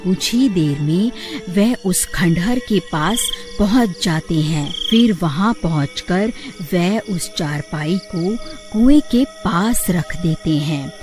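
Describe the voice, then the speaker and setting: A woman's voice: fairly low pitch, expressive, slow pace, hardly any pauses, a little mellow, soft background music. A woman narrator reading a scripted story.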